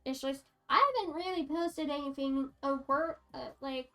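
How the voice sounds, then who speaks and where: A woman's voice: high-pitched, moderate pace, sharp tone, soft background music. A young French Canadian woman with a French Canadian accent, chatting casually on a livestream, rambling with lots of fillers and restarts. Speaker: streamer.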